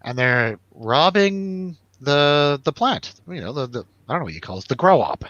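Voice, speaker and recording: A man's voice: a little high, very animated, moderate pace, fairly bright tone, highs cut off. A man chatting casually and jokily as host of a film review show, in informal, slangy talk. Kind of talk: casual chat, film review over drinks.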